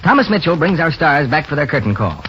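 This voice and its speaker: A man with an American accent, reading scripted announcements and sponsor pitches in 1940s radio style. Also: big pitch swings, quick pace, slight background noise. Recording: muffled 1940s radio broadcast recording.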